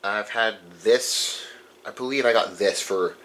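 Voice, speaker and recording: A man's voice: on the low side, a little slow, fairly bright tone, poor audio. A man giving a casual, unscripted show-and-tell as a collector, rambling informally and correcting himself as he goes. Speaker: radio collector presenting his collection. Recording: faint background noise.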